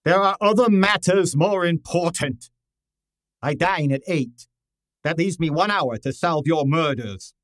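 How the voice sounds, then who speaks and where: A man playing a commanding, fussy detective genius in an old-time radio drama, speaking formally and deliberately with pompous authority. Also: on the high side, a bit bright, no echo, clean studio sound. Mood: imperious.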